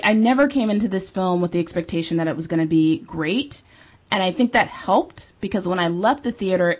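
A woman giving emphatic, opinionated views in a casual film discussion, as a panelist. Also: fairly low pitch. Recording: dull, muffled lo-fi audio.

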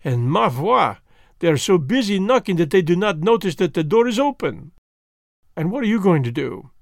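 A man's voice: high voice, big pitch swings, normal speed, gravelly, no echo, studio quality, full character voice. A man reading the part of a fussy, vain elderly Belgian detective who speaks precisely and drops French words. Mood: gently ironic.

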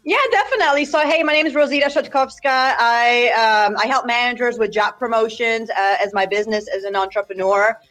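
A woman from Poland tells her personal story as a podcast guest. Her voice sits a little high, and she speaks candidly and energetically, with lots of fillers. Faint music plays behind her. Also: steady tone, fairly quick, hardly any pauses, a bit bright. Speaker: podcast guest, job promotion coach. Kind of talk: personal life story in a casual interview.

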